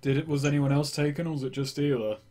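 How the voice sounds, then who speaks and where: A man's voice: fairly high pitch, steady tone, fast talker, darkish tone. Wry man joking over a game. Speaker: player. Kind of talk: casual chat during a tabletop game.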